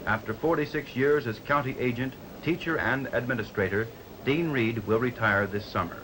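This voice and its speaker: An American man narrating a 1950s newsreel from a script, in a formal, measured announcer's delivery. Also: mid-range voice. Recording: 1950s newsreel soundtrack with faint noise.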